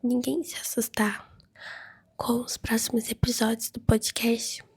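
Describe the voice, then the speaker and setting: A Brazilian woman hosting a podcast, chatting casually and giggling as if sending a voice message, hoarse and nasal from a flu so her voice keeps dropping to a whisper. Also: on the high side, flat delivery, unhurried, darkish tone. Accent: Brazilian Portuguese. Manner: chatty, giggly, talks straight to listeners. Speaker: podcast host.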